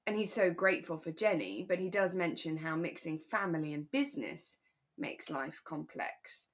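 Low-pitched woman, chatty podcast co-host. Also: expressive, normal speed. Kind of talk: relaxed chat discussing a radio drama.

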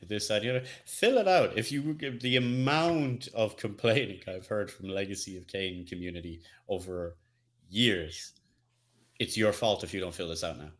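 A man's voice: lowish voice, normal speed. A middle-aged man hosting a podcast in a casual, wry, jokey chat about games. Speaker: podcast host.

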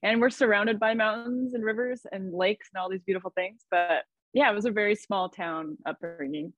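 A woman's voice: medium pitch, moderate pace, dry recording, narrow, tinny audio. A woman from Idaho, a podcast guest, chatting in an upbeat way and laughing often. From Idaho, USA. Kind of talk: casual conversation.

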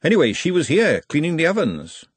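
A man voicing a brisk, practical middle-aged woman who runs a kitchen. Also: a little high, huge pitch swings, slightly rough, no echo, pitched above the performer's own voice, heavily acted. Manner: brisk and scolding.

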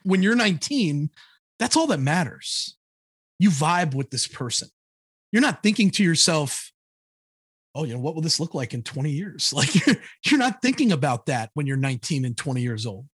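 A man in his thirties telling his own life story on a podcast, blunt and animated, laughing easily. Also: a little high, normal speed, slightly rough, no room sound, clean studio sound. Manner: blunt, animated, laughs often, frequent "like, you know". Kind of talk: candid conversational storytelling.

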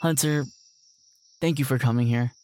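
A man's voice: mid-range voice, normal speed, a little mellow, slight background noise, lightly acted. A man playing a naive, clueless and polite brother in an audio drama, earnest, hesitant and easily flustered. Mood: unsure, sincere. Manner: hesitant, earnest, literal-minded.

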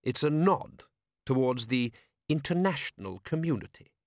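A man's voice: mid-range voice, animated, moderate pace, no echo, clean studio sound, voice pushed up, natural voice. A man voicing a shrewd, coolly commanding city ruler in an audiobook, precise, formal and sardonic. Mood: dryly sardonic. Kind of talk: audiobook character voice.

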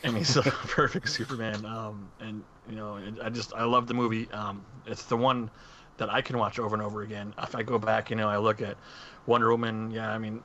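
A man's voice: on the low side, little pitch movement, a little fast, a bit bright. A man co-hosting a podcast, casually discussing movies with others. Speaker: podcast co-host. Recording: muffled audio with clear background noise.

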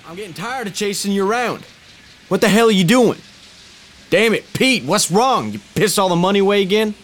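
An actor as a higher-voiced, exasperated American frontiersman. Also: lively intonation, a little fast, a bit bright. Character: exasperated, reluctant frontier brother and partner. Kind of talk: dramatic film dialogue reading.

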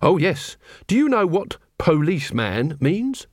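Man playing a naive young watchman, eager, literal, slightly raised pitch. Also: big pitch swings, measured pace, dry recording, clean studio sound. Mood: earnest. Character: earnest naive young male city watchman.

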